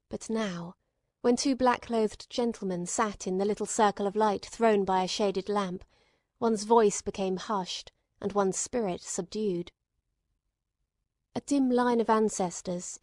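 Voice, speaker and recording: Woman narrating an old mystery novel, mid pitch, really dry recording. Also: a little mellow, dry recording. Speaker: audiobook narrator. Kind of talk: scripted narration of classic mystery fiction.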